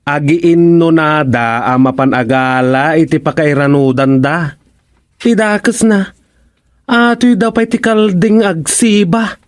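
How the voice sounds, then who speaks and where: A man's voice: on the high side, slow pace. An actor playing a grumbling, indignant village man in a radio comedy drama.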